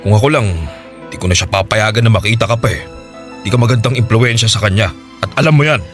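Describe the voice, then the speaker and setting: A man plays a stern radio-drama father, low-voiced and quick. He is blunt and scolding, protective and full of distrust, with faint music underneath. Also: fairly bright tone, natural voice. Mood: firm, distrustful. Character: stern, distrustful father guarding his child. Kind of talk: Tagalog radio drama acting.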